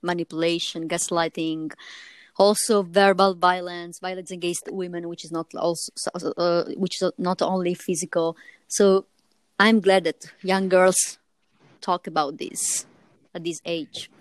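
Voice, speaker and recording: Smooth-voiced woman podcast host, late twenties. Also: on the low side, little pitch movement, measured pace, no echo. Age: late 20s. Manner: hesitant, many fillers and restarts. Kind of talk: casual interview chat.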